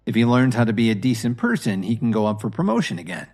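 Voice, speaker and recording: A man's voice: medium pitch, sing-song, fast, a little mellow. A man voicing a composed, friendly wealthy husband in an audio drama. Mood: calm.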